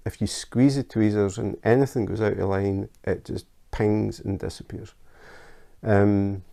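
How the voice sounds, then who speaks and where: Scottish man, gravelly voice, low pitch, chatty how-to vlog host. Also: unhurried. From Scotland. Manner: chatty, relaxed, with frequent ums and uhs. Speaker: YouTube craft channel host. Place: his home in Denmark.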